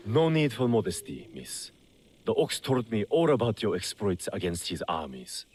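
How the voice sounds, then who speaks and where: Suave, scheming low-voiced merchant. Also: male voice, sing-song, moderate pace, a little mellow, breathy edge. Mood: suave, calculating. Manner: suave, mock-courteous, sly. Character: smooth, self-serving male merchant smuggler boss in feudal Japan. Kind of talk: scripted video game cutscene acting.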